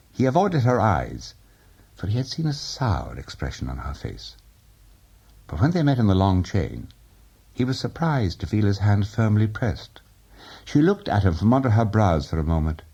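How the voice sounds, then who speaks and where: A man narrating a literary audiobook in a measured, expressive way. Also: low voice, husky, muffled recording. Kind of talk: audiobook reading of literary fiction.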